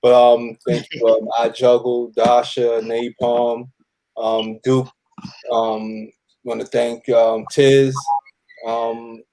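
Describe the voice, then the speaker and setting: A man's voice: mid-range voice, completely flat delivery, takes it slowly, dark tone, poor audio. A man talking casually as a guest in a group interview. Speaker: interview guest, radio theater cast member. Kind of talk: casual chat.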